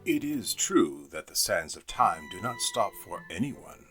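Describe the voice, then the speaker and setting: A man reading a spooky storybook narration in a playful, expressive way. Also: low voice, a little slow, creaky, over music.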